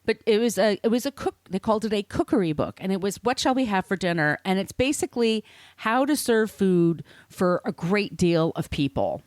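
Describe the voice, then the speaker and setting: A woman's voice: medium pitch, lively intonation, moderate pace, darkish tone, no echo, clean studio sound. A woman podcast host telling a story in casual chat, informal and sweary, with conversational asides.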